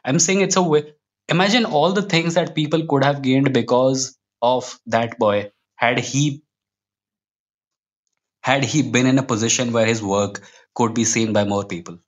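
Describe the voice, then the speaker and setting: A man's voice: medium pitch, fairly even intonation, measured pace. Reflective Indian man in podcast conversation. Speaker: guest, comic book writer.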